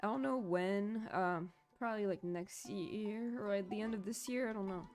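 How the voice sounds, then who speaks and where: A woman's voice: medium pitch, moderate pace, darkish tone, soft background music. A young woman streaming a video game, rambling casually with lots of filler words and swearing, her voice a little shaky. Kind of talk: casual gameplay commentary.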